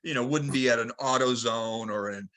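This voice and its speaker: Male call host, very bright, forward voice, casual and halting, chairs a group discussion. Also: medium pitch. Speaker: host of a club tech conference call.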